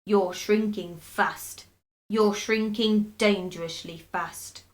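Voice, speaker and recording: A woman playing a gloating, spiteful old husband in a children's storybook, taunting with drawn-out mock solemnity. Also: mid-range voice, steady tone, natural voice.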